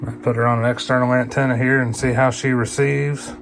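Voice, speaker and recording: A man's voice: mid-range voice, little pitch movement, brisk, creaky, heavy background noise. Guy doing a casual hands-on demo, folksy, kinda muttering to himself as he works. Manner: folksy, colloquial, muttering to himself while working. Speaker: video host reviewing a vintage CB radio. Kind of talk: casual hands-on equipment demonstration.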